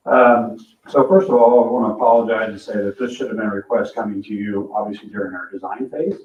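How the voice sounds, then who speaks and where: Male engineer addressing a meeting, fairly low and self-correcting, dull distant sound in a reverberant room. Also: fairly even intonation, quick pace. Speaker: project engineer presenting to council. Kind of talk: informal explanatory presentation. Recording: echoey room, distant muffled mic.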